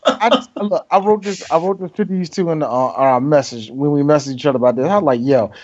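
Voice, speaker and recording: Animated, profane man on a lo-fi podcast. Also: on the high side, quick pace. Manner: animated, profane, laughs often, jumps into mock voices. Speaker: podcast co-host. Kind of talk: casual movie-review banter.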